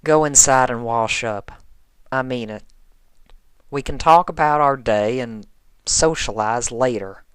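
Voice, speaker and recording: A performer voicing a plain-spoken country farm mare pony in a fan story, blunt, folksy and calm. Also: mid-range voice, measured pace, pauses often, clean tone, no echo, close to an everyday voice. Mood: firm.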